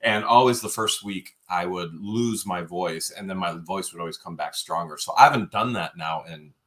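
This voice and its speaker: A man with a lowish voice and a bright, forceful edge tells a story in a relaxed chat. He is animated and jokey, acting out what people said. His voice sounds slightly worn from overuse. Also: normal speed. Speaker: co-host of a livestream.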